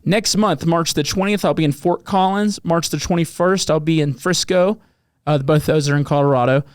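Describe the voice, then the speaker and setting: A man's voice: a little high, moderate pace, clean tone, dry recording, clean studio sound. A man with a Southern US accent hosting a casual podcast, laid-back and swearing freely, with lots of fillers. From American South. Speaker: podcast host and touring musician.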